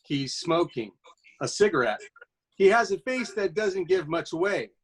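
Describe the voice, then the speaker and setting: A man's voice: high voice, animated, normal speed, husky. A man playing an obliging student who reads stage directions aloud plainly and a little tentatively in a web comedy.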